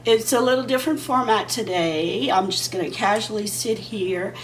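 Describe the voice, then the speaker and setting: A woman in her forties, a beauty vlogger, chatting casually and rambling while she does her makeup, her voice a bit hoarse from a sinus issue. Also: medium pitch, normal speed, far from the mic, a little hiss or hum, low-quality recording. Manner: rambling and conversational, talking while applying makeup.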